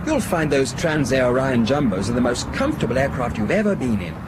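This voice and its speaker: An actor playing a courteous, reassuring business associate in a radio drama. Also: male voice, mid-range voice, big pitch swings, brisk, very noisy, natural voice. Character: polite, smooth-talking male business associate, eager to reassure.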